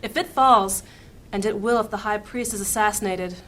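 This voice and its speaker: A woman playing a serious, knowledgeable adviser in a fantasy audio drama, explaining in measured phrases. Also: medium pitch, fairly bright tone, close to an everyday voice. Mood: grave. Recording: faint background noise.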